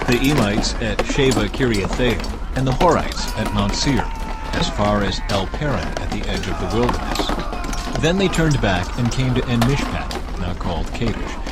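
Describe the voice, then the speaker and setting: A man giving a scripted, dramatized narration of scripture as the narrator. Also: fairly low pitch. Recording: loud music bed behind the voice.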